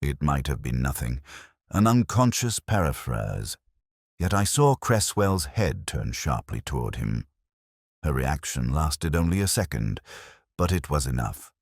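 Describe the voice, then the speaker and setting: A man playing a world-weary, melancholy British police inspector, narrating a detective audio drama in a measured, literary way. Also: very deep voice, very animated, dry recording, clean studio sound, full character voice. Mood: wry, sombre.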